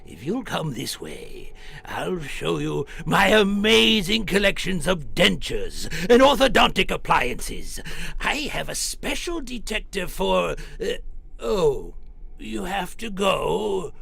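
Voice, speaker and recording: Ghoulish old-radio horror host: eccentric museum curator, slow halting delivery, high creaky voice, wild swoops. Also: male voice, sing-song, a bit bright, full character voice. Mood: gleefully macabre. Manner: theatrical, halting, drawn-out delivery with stammering asides.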